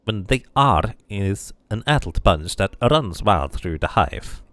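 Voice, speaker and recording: A man's voice: on the low side, expressive, slow, a bit bright, no echo. A man voicing a scarred tiefling woman in a fantasy game, wild, snappish and grieving. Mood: distraught, bitter.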